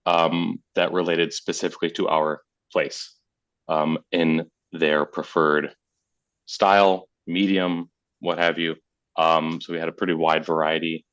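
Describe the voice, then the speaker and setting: A man who is a muralist, hoarse from being under the weather, presenting to a committee and pausing often to search for words. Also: a bass voice, a bit bright, no echo. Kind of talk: meeting presentation.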